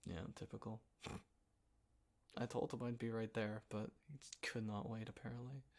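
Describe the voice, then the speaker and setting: A man acting an easygoing, playful young guy in a casual roleplay audio, talking in a halting, chuckling, naturalistic way with a friend. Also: on the low side, fairly even intonation, close to an everyday voice. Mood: relaxed, mildly resigned. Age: young adult.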